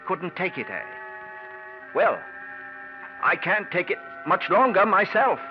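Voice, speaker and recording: An actor playing a scheming, bitter stage actor in an old radio drama, speaking with measured, falsely polite menace. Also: male voice, high voice, big pitch swings, over loud music, full character voice. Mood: weary, tense. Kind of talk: 1940s-50s radio drama acting. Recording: muffled, noisy vintage radio recording.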